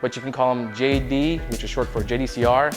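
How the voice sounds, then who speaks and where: A man's voice: mid-range voice, fairly even intonation, a little fast, faint music behind. A man casually introducing a group of players, one after another.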